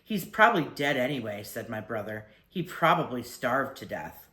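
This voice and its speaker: A woman's voice: rumbling low, expressive, normal speed, pushed and bright, roomy sound, pitched below the performer's own voice, full character voice. An older woman voicing a scornful, know-it-all boy from a storybook, dismissive and sure of himself.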